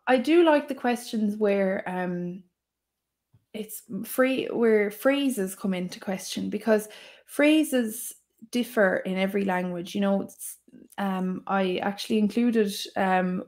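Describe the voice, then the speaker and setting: Young Irish woman, Waterford accent, lively guest on a video call podcast. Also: mid-range voice, a little slow. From Ireland. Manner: animated, enthusiastic, gestures while talking. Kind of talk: casual podcast interview. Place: small room at home. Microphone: video call, earphones.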